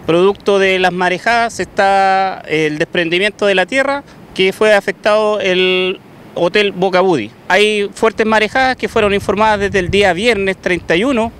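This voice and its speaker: A man's voice: sits high, normal speed, fairly bright tone, a little hiss or hum. A Chilean man in his thirties, a naval sergeant giving a formal briefing outdoors on the coast.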